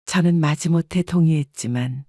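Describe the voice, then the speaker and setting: Calm woman narrating a story. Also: deep voice, moderate pace, no echo, clean studio sound. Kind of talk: scripted first-person story narration.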